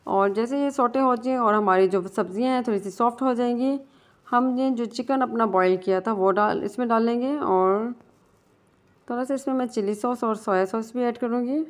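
A woman giving a casual step-by-step recipe voice-over as a cooking channel host, mixing English words into her talk. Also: on the high side. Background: guitar music.

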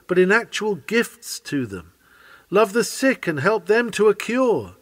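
A man's voice: fairly high pitch, dry recording. A man reading a sermon aloud in an earnest, oratorical manner. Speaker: sermon reader.

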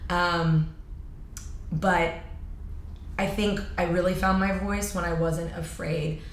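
A hoarse woman in her thirties talks in an upbeat, energetic way as a fitness coach in an interview, her loud, projecting voice sitting a little low for a woman. She sounds like she is in a bright studio room with a noticeable echo. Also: slow pace, a little hiss or hum. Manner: energetic, upbeat, many fillers. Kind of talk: casual interview chat.

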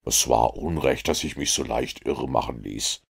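A man voicing a calm, confident detective who explains his reasoning in a measured, lecturing way. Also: extremely low pitch, vocal fry, husky, full character voice. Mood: explaining. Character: calm, confident male detective, middle-aged.

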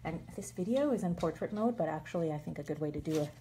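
A woman's voice: low voice, expressive, fast talker, dark tone, a little hiss or hum. A woman chatting casually while she shows a craft for kids, filmed on a phone from overhead at an indoor table. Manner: chatty, thinking aloud with fillers. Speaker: craft video host. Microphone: phone filming from overhead.

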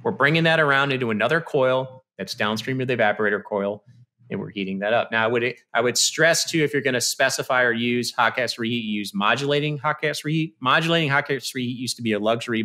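Man, 30s, bright and forceful, casual instructor tone on a video-call studio mic. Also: mid-range voice, expressive, fast talker. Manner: conversational, explains step by step with frequent "you know" and "okay". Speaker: HVAC presenter. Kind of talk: technical presentation over slides. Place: small indoor room. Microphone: studio mic on stand with headphones, video call.

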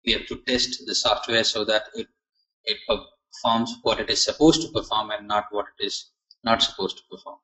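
A man teaching an online software testing class, asking and answering his own questions, with many fillers and restarts. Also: fairly low pitch, steady tone, moderate pace, low-quality recording.

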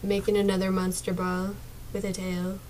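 A young woman streaming a game from her small dark bedroom, chatting casually to viewers with a sore, partly lost voice and drifting into mumbles. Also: medium pitch, monotone, normal speed, a little hiss or hum, low-quality recording. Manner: soft-spoken, drifts into whispers and mumbles. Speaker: gaming streamer.

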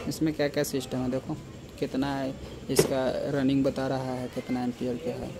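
A man's voice: medium pitch, level tone, brisk. A young man from Bihar, chatting casually into his phone camera as a vlogger, first on the road, then in a small tool shop. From Bihar, India. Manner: chatty, mixes local dialect with Hindi. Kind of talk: casual vlog narration and shop chat. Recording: loud traffic and street noise.

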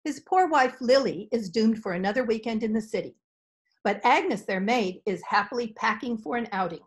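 Announcer: older woman, slightly high pitch, reading a scripted radio show introduction. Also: expressive, moderate pace. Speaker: announcer and narrator. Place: home office lined with shelves. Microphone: computer mic on a video call.

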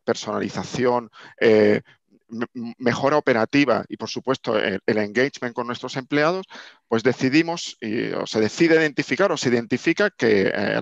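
A man in his fifties presents at an online conference, his voice gravelly with a rough edge. He talks in a measured, halting way and often restarts his phrases. The webcam audio sounds dull and dry, with no room around it. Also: mid-range voice, dry recording. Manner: measured, with many restarts and hesitations. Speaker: conference speaker. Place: home office over a video call. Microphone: video call webcam mic.

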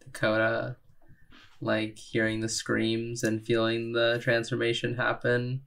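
A man's voice: mid-range voice, monotone, unhurried, gravelly, a bit bright. A man playing a tabletop game, chatting casually and a little hesitantly as he searches for words.

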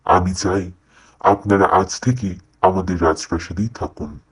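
A man's voice: deep voice, steady tone, a little slow, breathy edge. A man playing a grateful, generous old king, speaking in a formal fatherly way in a cartoon fairy tale. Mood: gracious, inviting.

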